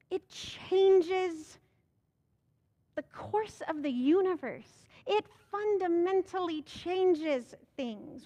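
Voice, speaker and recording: High-voiced American woman preaching. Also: darkish tone, big-room sound, lo-fi sound. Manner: rhetorical questions, emphatic rising delivery. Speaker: pastor. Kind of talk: sermon. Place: church sanctuary. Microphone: pulpit microphone.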